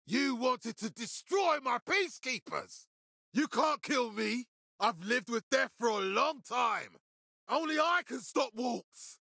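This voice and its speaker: A furious militia boss from a video game. His voice is raised high and strained, gravelly and harsh, with bombastic menace and big swings in pitch. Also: dry recording, clean studio sound, exaggerated voice. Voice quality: raspy, strained shouting. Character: wrathful, vengeful militia leader turned desperate boss enemy. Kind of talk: video game combat barks.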